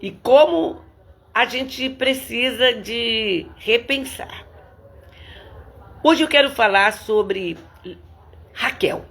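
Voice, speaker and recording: A hoarse woman pastor with a Brazilian Portuguese accent, greeting viewers by name and praying fervently in a livestreamed service. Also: a little high, drawn-out words, long pauses, a bit bright.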